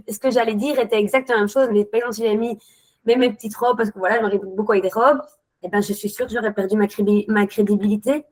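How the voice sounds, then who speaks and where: A woman's voice: a little high, rough recording. A woman in her thirties, a webinar guest telling her own work experiences, talking hesitantly with many restarts and tangents. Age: 30s. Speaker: webinar guest, account manager. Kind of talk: spontaneous testimony.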